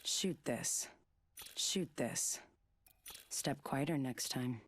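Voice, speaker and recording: A woman voicing a cool, stealthy, menacing fighter in a video game, in measured, taunting lines. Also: rumbling low, exaggerated voice.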